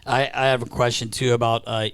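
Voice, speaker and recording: North American male co-host, medium pitch, loose informal chat full of "like", studio podcast. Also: little pitch movement, a little fast, fairly bright tone, clear, resonant tone. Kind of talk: casual chat.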